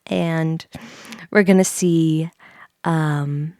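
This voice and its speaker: A woman chatting softly on an ASMR livestream, hushed and often slipping into whispers, halting and repeating her phrases, close to the microphone. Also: low-pitched, steady tone, dry recording. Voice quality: soft, breathy whisper mixed with hushed talk. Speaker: livestream host, VTuber. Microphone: close studio microphone, mouth sounds audible.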